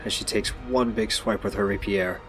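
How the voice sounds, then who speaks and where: A man's voice: fairly low pitch, steady tone, moderate pace, fairly bright tone. A man reading scripted story narration for an audio drama. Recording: music behind.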